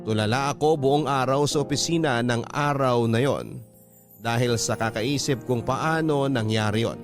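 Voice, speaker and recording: A man's voice: medium pitch, normal speed. A man reading a first-person story as a radio drama narrator. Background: background music.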